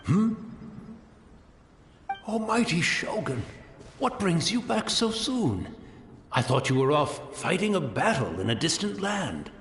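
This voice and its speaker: An actor playing a blind, elderly male tea master in a video game, speaking gently and formally in a reflective, courteous manner. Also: fairly high pitch, sing-song, takes it slowly, pauses often, reverberant, slight background noise, heavily acted. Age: older.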